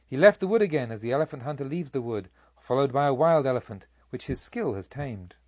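A man reading a Buddhist tale as an audiobook, with a solemn, measured, theatrical storytelling delivery. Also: medium pitch, lively intonation, a little mellow, no echo, muffled recording. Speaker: audiobook narrator. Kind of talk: scripted literary narration of a Buddhist tale.

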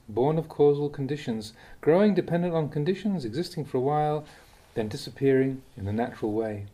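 Calm monk with mellow, husky voice. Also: male voice. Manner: calm, deliberate reading with lively pitch on key words. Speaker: monk reading Dhamma talks. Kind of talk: measured reading of a Buddhist teaching.

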